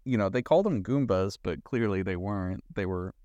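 A man's voice: lowish voice, fairly quick, darkish tone, dry recording, dull audio. A man co-hosting a casual chat about movies, thinking aloud in loose, conversational asides. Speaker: podcast co-host.

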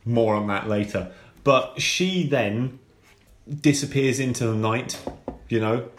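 A man with a slow, unhurried delivery tells a story as a podcast co-host. He laughs often and breaks into silly voices for the people in the tale. The room around him is echoey and roomy. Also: medium pitch, a little hiss or hum. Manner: laughs often, drawls and acts out phone calls. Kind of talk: comedic storytelling chat.

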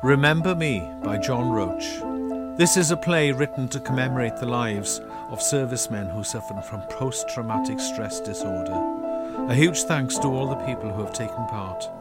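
A man's voice: mid-range voice, normal speed. A man reading scripted closing credits as a radio announcer. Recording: loud piano music under voice. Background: piano music.

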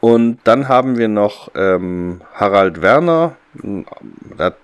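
A man's voice: on the low side, expressive, slow pace, clean tone. A man co-hosting a podcast, chatting casually and bluntly about an audio drama in informal language. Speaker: podcast co-host.

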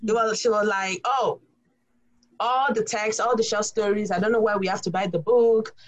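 A woman's voice: mid-range voice, fairly even intonation, moderate pace, low-quality recording. A young woman from Nigeria with a Nigerian accent, a graduate student instructor speaking earnestly and at length in a casual panel discussion, often adding "you know".

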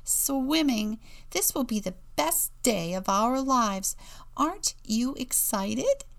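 Woman putting on a squeaky, gushing young penguin girl. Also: huge pitch swings, slow, vocal fry, a bit bright, heavily acted. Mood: excited. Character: bubbly, gushing young female penguin, friendly and confident.

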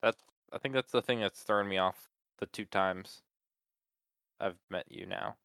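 A man's voice: on the low side, a little slow, a bit bright, smooth, no echo. A man co-hosting a podcast in laid-back chat, explaining tech with lots of filler words. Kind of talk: casual chat.

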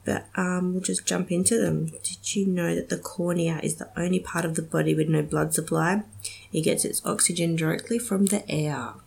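A woman's voice: lowish voice, fairly even intonation, moderate pace, gravelly, darkish tone, husky. A young woman hosting a casual solo podcast, chatty and giggly with many ums, sounding slightly nasal from a cold. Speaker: podcast host.

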